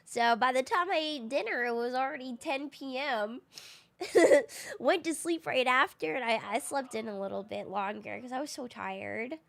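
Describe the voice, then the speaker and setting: A woman game streamer chatting casually with her viewers while she plays, slightly raspy from just waking up, easygoing and quick to laugh. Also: high voice, a bit bright. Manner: chatty and easygoing, laughs often, thinks aloud. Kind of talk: casual live-stream chat, answering viewers.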